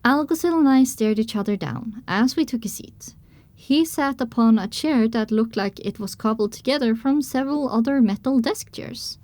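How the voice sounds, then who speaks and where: A storybook-style first-person narrator played by a woman, a pony mare telling her adventure. Her voice sits a little high and is recorded close and dry, with measured, descriptive delivery. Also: darkish tone, dry recording, natural voice. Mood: narrating. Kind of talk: scripted audiobook narration.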